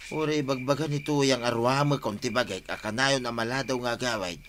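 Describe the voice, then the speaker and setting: A man's voice: medium pitch, a little fast, a bit bright, natural voice. Man acting an anxious husband over noisy cricket ambience. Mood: worried. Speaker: radio drama actor. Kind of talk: radio drama acting in Ilocano. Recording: noisy track with chirping crickets.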